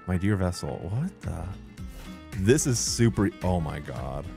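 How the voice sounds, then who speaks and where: A man's voice: deep voice, very animated, unhurried, gravelly. Young guy streamer reacting, super enthusiastic, voice goes up n down, music playing behind him. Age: young adult. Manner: enthusiastic, exclamatory. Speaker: streamer reacting to a game trailer. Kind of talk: casual reaction commentary. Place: small home streaming room, indoors. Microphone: close desk microphone on an arm. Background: music from the game trailer.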